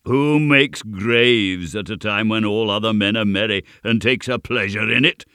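A man voicing a stern, mocking old goblin in a storybook reading, deep and deliberate, with sneering questions. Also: expressive, gravelly, pushed and bright, no room sound, studio quality, full character voice. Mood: gleeful, taunting.

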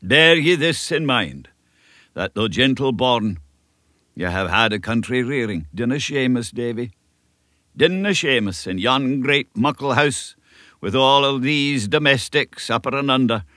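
A man's voice: mid-range voice, sharp tone, no echo, studio quality, voice pushed up. A man voicing an earnest, pious old country minister, speaking solemnly. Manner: solemn, sermonizing.

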